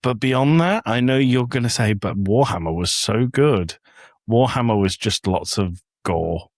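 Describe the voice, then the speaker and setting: A man's voice: low-pitched, vocal fry, no echo, studio quality. A man in a casual podcast discussion, giving blunt, critical opinions as a panellist.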